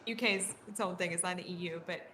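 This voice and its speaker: A woman's voice: fairly low pitch, racing, sharp tone, faint music behind. A woman co-commentating live table soccer in a conversational, knowledgeable way. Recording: live venue noise behind.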